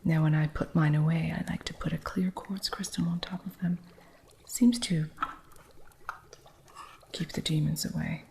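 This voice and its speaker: A woman performs an eccentric witch who keeps a cluttered curio shop, whispering slowly and softly right into a close microphone. Her low voice has a gravelly, fry-tinged texture. She is possessive and a little stern, like a keeper of guarded secrets in an ASMR roleplay. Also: steady tone, slight background noise. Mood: hushed whispering. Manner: soft, unhurried whispering with a stern, territorial edge.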